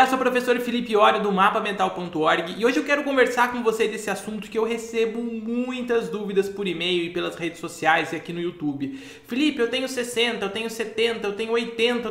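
A man in his thirties, a memory-course teacher, explaining a topic directly to camera in a small office. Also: high voice. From Brazil. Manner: animated, gestures a lot while explaining. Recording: echoey room.

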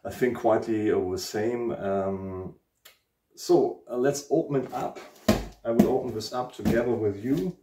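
A man's voice: fairly low pitch, measured pace, warm tone, recorded from a distance. A man in his forties from Germany with a German accent, hosting a casual guitar unboxing vlog at home and searching for his words with many ums. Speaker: guitar channel host. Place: living room at home, indoors. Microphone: camera mic at a distance. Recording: echoey room, speaker away from mic.

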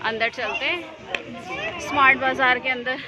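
Woman vlogger with a North Indian accent, slightly high voice, chatty price-by-price store tour, phone camera in a loud crowded shop. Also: unhurried, a loud crowd, heavy background noise. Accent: Hindi-speaking North Indian. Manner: chatty, points out prices and offers. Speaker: shopping vlogger. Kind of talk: walking store tour vlog. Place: busy supermarket indoors. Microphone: handheld phone camera. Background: shoppers chatting in a crowded store.

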